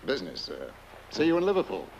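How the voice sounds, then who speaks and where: An actor playing a polite, evasive family lawyer with a courteous, formal, drily genteel manner. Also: male voice, on the low side, huge pitch swings, fast talker, vocal fry, lo-fi sound, a little hiss or hum, heavily acted. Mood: politely evasive. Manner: courteous, formal, slightly hesitant. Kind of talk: film drama dialogue.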